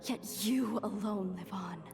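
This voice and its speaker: A woman's voice: mid-range voice, measured pace, gravelly, a little mellow. A woman playing a bitter, accusing ghostly woman in a video game nightmare, speaking in reproachful questions. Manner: reproachful, rhetorical. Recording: reverberant dream effect over music.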